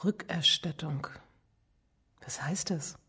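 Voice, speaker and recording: An actress playing a brisk, inquisitive junior police detective in a radio crime drama. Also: female voice, low-pitched, fairly bright tone, breathy edge, exaggerated voice. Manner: brisk, questioning.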